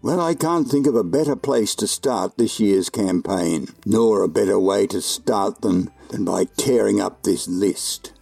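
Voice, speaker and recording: An actor playing a kindly, principled old Santa Claus in a radio drama, with a gravelly, husky voice. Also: male voice, on the low side, measured pace, few pauses, dark tone, soft background music. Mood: indignant, resolute. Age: older.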